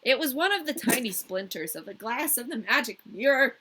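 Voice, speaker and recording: Slightly husky woman reading a fairy tale. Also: fairly high pitch, animated, fairly quick, bright, forceful tone. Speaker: storyteller, podcast host. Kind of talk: scripted fairy tale narration with casual asides.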